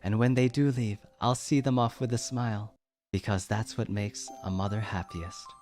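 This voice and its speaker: A man voicing a lonely young woman who is raising little star creatures; she speaks softly and turns tearful when upset. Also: fairly low pitch, steady tone, moderate pace, close to an everyday voice. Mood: gentle. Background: background music.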